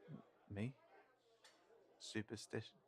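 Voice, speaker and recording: Casting: a man as a careful, worrying fantasy companion, deep-leaning voice, unhurried halting delivery, intimate one-to-one audio drama. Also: steady tone. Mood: worried, hesitant. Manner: hesitant, halting, thoughtful, trailing off. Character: cautious, worried man in a fantasy world, protective of companion. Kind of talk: intimate audio roleplay acting to one listener.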